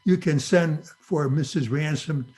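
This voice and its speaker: An actor as a cheeky young nephew in old-time radio comedy. He teases in a fairly high voice for a man. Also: unhurried, darkish tone, natural voice. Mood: teasing.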